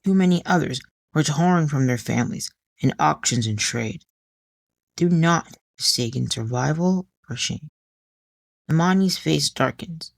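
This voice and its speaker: Slow, measured woman narrating fiction. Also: deep voice, animated, creaky, no room sound. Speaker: audiobook narrator. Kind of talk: scripted dramatic story narration.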